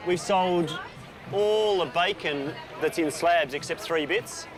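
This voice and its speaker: A man's voice: on the high side, takes it slowly, over music. A man presenting on camera, chatting enthusiastically and explaining food with casual fillers.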